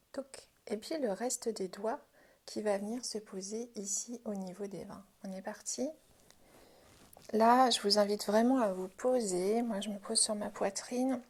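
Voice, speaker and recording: Woman teaching a gentle relaxation exercise, soft husky breathy voice, kinda whispery at times, reassuring. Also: mid-range voice. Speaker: Jin Shin Jyutsu self-help instructor. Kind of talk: guided practice lesson, spoken informally.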